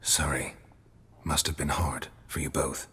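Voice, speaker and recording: An actor playing a gruff, terse male monster hunter with a very deep, raspy voice and a dry, low-key manner. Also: flat delivery, a little slow, bright, forceful tone, heavily acted. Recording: long hall reverb.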